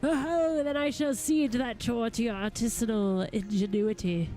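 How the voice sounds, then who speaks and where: A woman's voice: fairly high pitch, steady tone, unhurried, warm tone, faint music behind, lightly acted, rough recording. A woman voicing a genteel, theatrical goldsmith lord from a fantasy game, with formal, flowery and precise diction. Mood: grandiose. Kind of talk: reading fantasy game dialogue aloud.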